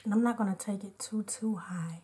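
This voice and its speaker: A woman with a slightly hoarse, congested voice, chatting casually as a beauty vlogger and narrating each step of an unedited makeup tutorial. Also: mid-range voice, a little fast, warm tone.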